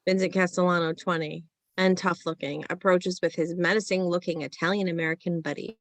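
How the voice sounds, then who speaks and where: A woman's voice: on the low side, fairly even intonation, a little fast, no echo. A woman reading screenplay stage directions as scripted present-tense narration.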